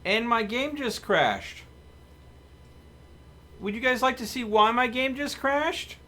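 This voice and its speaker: A man live streaming a video game, chatting casually to viewers in an expressive, playfully mock-dramatic way. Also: very high voice, slow. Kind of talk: casual gaming commentary to viewers. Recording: music behind the voice. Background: music.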